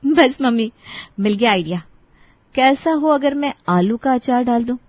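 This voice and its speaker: A woman playing a polite but exasperated young daughter-in-law in a dramatized story, expressive in the way she speaks. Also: fairly high pitch, a little slow, no room sound, dull audio. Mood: scheming. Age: young adult.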